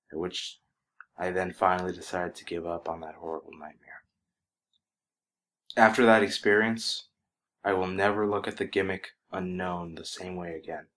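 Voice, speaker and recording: A man reading a scripted horror story as its narrator, in an ominous, dramatic manner that can drop to a hush. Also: deep voice.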